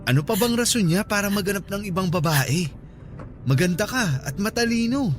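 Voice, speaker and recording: A man's voice: high voice, lively intonation, fairly quick. An actor playing an earnest, flirty coworker smitten with his friend in a Filipino radio drama, coaxing and soothing. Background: background music.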